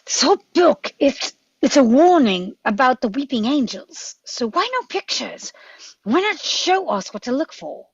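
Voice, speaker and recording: Casting: woman as brainy commanding sci-fi doctor in a drama read, husky and very animated, recorded dry and dull. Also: sits high, unhurried, dry recording, heavily acted. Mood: intent, questioning. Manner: urgent, commanding. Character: quick-thinking, urgent, commanding adventurer doctor. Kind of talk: script table read.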